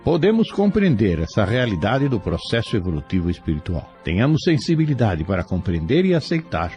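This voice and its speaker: A man's voice: fairly low pitch, sing-song, brisk, a little mellow, soft background music. A man giving a formal, solemn, scripted moral commentary in a sermon-like style.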